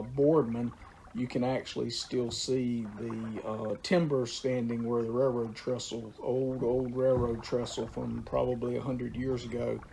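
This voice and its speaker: A man talks about his local river and its history in an unhurried, informal way, pausing often with "uh" as he gathers his thoughts. His voice has a gravelly edge and sits at an ordinary pitch, with a faint hiss of noise behind it. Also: dark tone, poor audio. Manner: unhurried, with frequent "uh" pauses.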